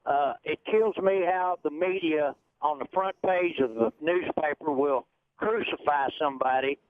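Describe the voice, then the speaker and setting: A man calling into a radio show and talking in a halting, frustrated way. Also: a little high, clear, resonant tone, dry recording. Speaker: caller. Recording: phone line.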